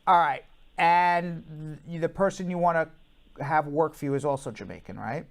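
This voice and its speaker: A man's voice: a little high, normal speed, gravelly. A man hosting a live call-in show, giving legal advice to callers in a blunt, emphatic, repetitive way.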